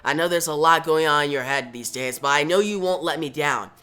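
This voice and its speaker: A man's voice: a little high, brisk, sharp tone, clean tone. A man voicing a desperate young male superhero who pleads urgently with his mentor, in comic-book storytelling. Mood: desperate pleading.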